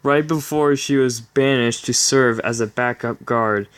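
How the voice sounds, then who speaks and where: A man's voice: medium pitch, unhurried, a bit bright, smooth, lightly acted. A man voicing a vain, boastful winged unicorn hero with melodramatic self-seriousness, in a story reading. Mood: brooding, boastful.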